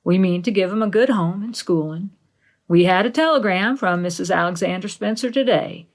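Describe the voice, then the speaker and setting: A practical middle-aged farm woman from a storybook, as a female reader plays her. She speaks with stern, resigned matter-of-factness, in a measured voice at a medium pitch with plenty of fry. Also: close to an everyday voice. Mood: matter-of-fact.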